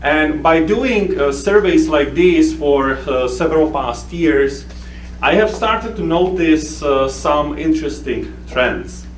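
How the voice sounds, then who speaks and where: A man from the Czech Republic with a Czech accent, giving a conference talk as a researcher, speaking haltingly with many fillers and restarts. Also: a little high, animated. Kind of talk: conference presentation. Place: conference hall. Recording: echoey room recorded from a distance with faint hum.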